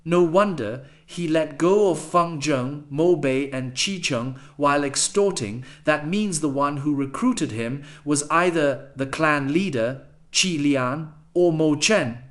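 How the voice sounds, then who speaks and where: A man playing a dutiful academy elder in a fantasy audiobook, with a deliberate, reflective way of talking. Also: fairly high pitch. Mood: thoughtful, satisfied. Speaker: voice actor. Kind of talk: dramatised audiobook acting.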